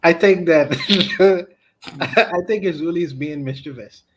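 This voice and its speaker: A man on a podcast livestream speaks with a slightly raised pitch, pressing his opinions hard. He circles back to the same point again and again and restarts his sentences as he thinks aloud. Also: slow pace, noisy background. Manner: emphatic, repeats his points, frequent restarts.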